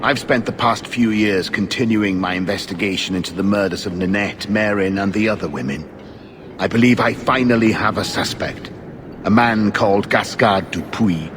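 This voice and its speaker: An actor playing an earnest, dogged investigator in a fantasy video game, speaking formally and insistently. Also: male voice, on the low side, moderate pace, noisy background, close to an everyday voice. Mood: grave. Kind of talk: scripted video game acting. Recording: game audio over music.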